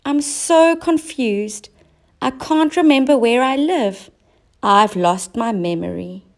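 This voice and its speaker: A woman's voice: a little high, takes it slowly, voice pushed up. A woman voicing an emotional, forgetful male clown in a children's storybook, plaintive and exclamatory. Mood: tearful, confused.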